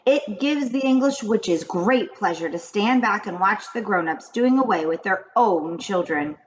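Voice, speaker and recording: A woman voicing an old grandmother in a children's storybook, confiding grave witch lore in a deliberate, dramatic way. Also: medium pitch, expressive, lo-fi sound, lightly acted. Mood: matter-of-fact. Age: older. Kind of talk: children's storybook read-aloud.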